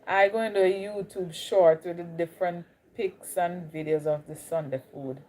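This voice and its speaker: A woman's voice: lowish voice, steady tone, normal speed, warm tone, poor audio. Chatty Jamaican woman on a livestream. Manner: chatty, greets viewers, drifts between Caribbean accents. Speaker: livestream host. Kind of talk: casual live stream chat with viewers.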